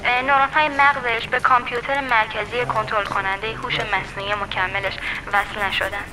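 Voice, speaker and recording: Woman doing school lady on the phone, high voice, stumbling with ums. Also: level tone, background noise, lightly acted. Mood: hesitant. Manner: hesitant, halting with fillers. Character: hesitant woman school staff caller.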